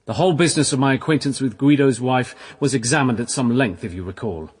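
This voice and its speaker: An actor playing an impassioned young priest in a period radio drama, intense and declamatory, pausing for emphasis. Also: male voice, mid-range voice, husky, close to an everyday voice. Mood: defiant, bitter. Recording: long reverb of a hall.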